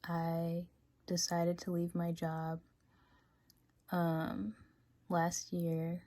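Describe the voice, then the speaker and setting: A woman in her twenties chatting casually in a personal vlog, full of "like" and "um", sometimes dropping to a soft, hushed tone. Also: fairly low pitch, completely flat delivery, drawn-out words, no echo. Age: 20s. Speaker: vlogger. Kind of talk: casual confessional vlog chat.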